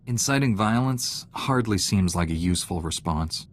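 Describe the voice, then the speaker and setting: An actor playing a calm, skeptical heroic knight in a video game, measured and dryly questioning. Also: male voice, sits low, slight background noise. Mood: calm, disapproving. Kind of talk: video game character dialogue.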